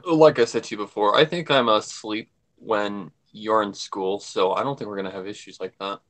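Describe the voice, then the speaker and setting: A man's voice: on the low side, fairly even intonation, normal speed, fairly bright tone. A man joining a livestream as a guest over an online call, chatting casually and repeating himself as he rambles.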